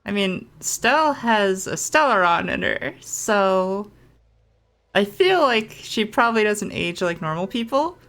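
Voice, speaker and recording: A woman reacts to a game as a streamer, thinking aloud in casual chat. Her voice is mid-pitched and she takes her time, musing as she goes. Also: big pitch swings, slow, a bit bright. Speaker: gaming streamer.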